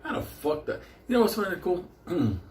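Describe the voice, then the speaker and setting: A man's voice: high-pitched, very animated, moderate pace. Puerto Rican man, Spanish native, hosts his own show, rambles and swears, laughs a lot, echoey room with faint hiss. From Puerto Rico. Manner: rowdy, laughs often, swears constantly. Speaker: show host. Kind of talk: casual profane storytelling monologue.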